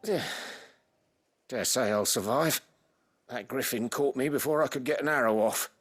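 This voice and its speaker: A man's voice: medium pitch, unhurried, close to an everyday voice. A man playing a humble, talkative leather craftsman in a video game, wry and self-deprecating. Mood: wry, chatty. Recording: game music under the voice.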